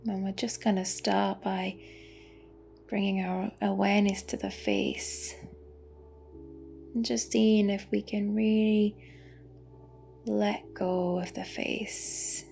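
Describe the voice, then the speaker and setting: A woman leads a guided meditation gently and soothingly, in a soft, breathy voice that often drops close to a whisper. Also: mid-range voice, flat delivery, slight background noise. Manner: gentle, unhurried, with long pauses. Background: music.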